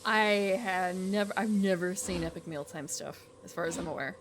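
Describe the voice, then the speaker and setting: A woman's voice: lowish voice, normal speed, slight background noise. An American woman giving casual, rambling live game commentary as a streamer, chatting informally with viewers. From United States.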